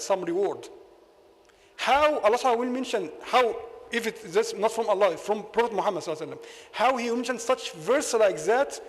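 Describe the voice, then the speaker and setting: Egyptian imam lecturing in a reverberant mosque. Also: male voice, high voice. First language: Arabic. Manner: argumentative, rhetorical questions. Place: mosque hall. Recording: long hall reverb.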